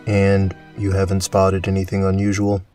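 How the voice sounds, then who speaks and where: A man playing an observant, methodical inspector in an audio drama, with a measured questioning manner. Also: sits low, monotone, a little mellow, far from the mic, natural voice. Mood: probing. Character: observant, methodical male inspector investigating a farm. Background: music.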